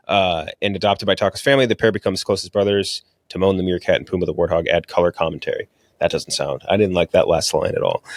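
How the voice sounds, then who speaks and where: Casual low-voiced man on a movie podcast. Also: dry recording, studio quality. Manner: blunt, profane, slangy. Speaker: podcast host reviewing movies.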